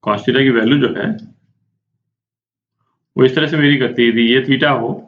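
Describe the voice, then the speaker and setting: A man's voice: medium pitch, fairly even intonation, unhurried. An Indian man teaches like a physics tutor working through a problem aloud. He explains informally, often checking in and asking himself questions. The sound is roomy and somewhat muffled. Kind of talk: spoken lesson working through a problem step by step. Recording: echoey room, dull muffled sound.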